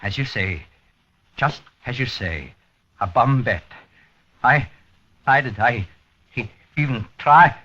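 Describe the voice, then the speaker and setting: An actor playing a sinister, cryptic two-faced man with halting, broken phrases in a 1950s radio drama. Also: medium pitch, lively intonation, pushed and bright, a little hiss or hum. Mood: weak, rambling. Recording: muffled vintage 1950s radio recording.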